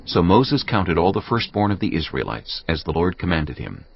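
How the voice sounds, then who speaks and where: A man with a gravelly voice narrating scripture from a script in a solemn, measured, formal manner. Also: sits low, sing-song, a little hiss or hum. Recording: slightly muffled, music bed behind.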